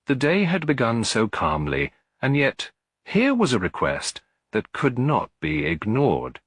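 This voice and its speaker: A man's voice: mid-range voice, wildly swooping pitch, a little slow, no room sound. A man reading a mystery story aloud as a scripted audiobook narration.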